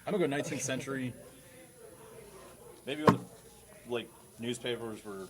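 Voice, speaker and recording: Wisecracking man with a mid-pitched voice. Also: normal speed, crowd noise. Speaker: quiz panelist. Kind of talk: casual joking chat.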